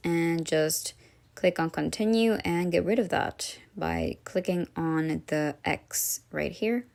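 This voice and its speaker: A woman with a slightly low voice narrates a how-to tutorial. She talks through each step in a casual, instructional style, as the host of the video. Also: vocal fry.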